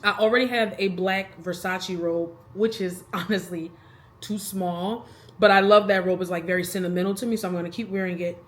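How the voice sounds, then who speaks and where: A woman's voice: lowish voice, steady tone, fairly quick. A woman in her thirties talking casually to the camera in a rambling, chatty vlog, laughing between thoughts. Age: 30s. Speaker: vlogger.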